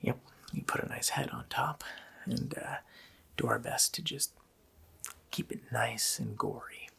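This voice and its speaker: A man voicing a gloating, child-eating Christmas demon in a gravelly, breathy whisper, drawling casually and menacingly in an ASMR roleplay. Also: mid-range voice, unhurried, sharp tone, full character voice. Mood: ghoulish, playful.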